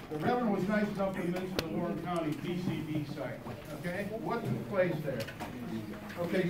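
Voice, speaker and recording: An American man giving an insistent explanatory talk as an activist panelist, often punctuating his points with a questioning "okay". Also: high-pitched. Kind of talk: explanatory public presentation. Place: crowded library meeting room. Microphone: camera in the audience, far off. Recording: echoey room, far mic, clear background noise.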